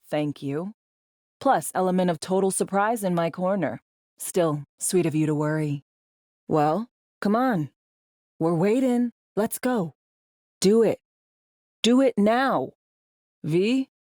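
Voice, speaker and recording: A woman acting a brisk, sardonic, foul-mouthed veteran secret agent in video game dialogue, with dry, clipped, conversational phrasing. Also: medium pitch, darkish tone, no echo, lightly acted. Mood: wry, casual, then brisk, urging.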